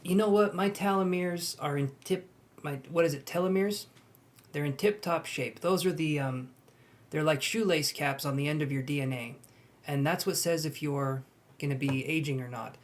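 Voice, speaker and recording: A young man reading tarot on a live stream, chatting and gently reassuring his viewers. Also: fairly high pitch, moderate pace. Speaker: tarot reader.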